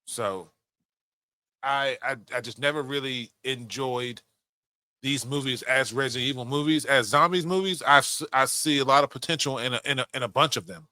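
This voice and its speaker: A man's voice: mid-range voice, normal speed, bright, forceful tone, no echo. A young adult man co-hosting a podcast, giving opinions in casual talk and swearing freely. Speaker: podcast co-host. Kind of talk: casual film discussion.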